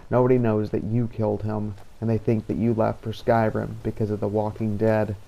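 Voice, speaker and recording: A man's voice: on the low side, little pitch movement, normal speed, vocal fry, mellow, lo-fi sound. A man reading as a worried younger sister writing to her brother, earnest and grave, in a fantasy roleplay. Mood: worried, reproachful. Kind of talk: letter read aloud in fantasy roleplay.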